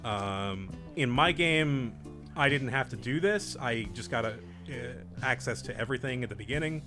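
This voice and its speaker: A man's voice: mid-range voice, expressive, normal speed. A young man hosting a group let's play, casually recapping the story and explaining game mechanics with jokes. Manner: laid-back, joking, explains game mechanics. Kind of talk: casual group gameplay chat. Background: game music.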